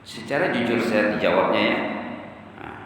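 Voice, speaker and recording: A man's voice: fairly low pitch, moderate pace, a bit bright. Man preaching in a very echoey mosque. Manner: didactic, asks rhetorical questions then answers them. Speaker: Islamic preacher (ustaz). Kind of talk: Islamic sermon to a congregation. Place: large mosque prayer hall. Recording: very echoey mosque hall, distant mic, faint noise.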